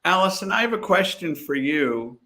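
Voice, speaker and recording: A man hosting a chat, asking guests measured questions. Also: high voice, very animated, sharp tone. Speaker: host. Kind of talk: podcast interviewing.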